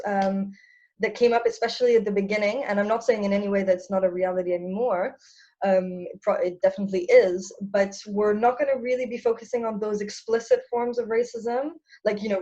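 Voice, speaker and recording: A woman's voice: medium pitch, a little mellow, highs cut off. A young woman co-hosting an online seminar, explaining ideas thoughtfully with frequent fillers and restarts. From French and Irish background. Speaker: seminar co-host. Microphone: video call.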